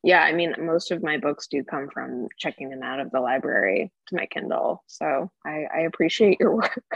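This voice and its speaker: A low-voiced woman with plenty of vocal fry chats casually as a guest. The recording is dry and a bit muffled, with little top end. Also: moderate pace, dry recording. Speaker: podcast guest. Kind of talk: casual chat. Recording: dull, lo-fi, dry close sound.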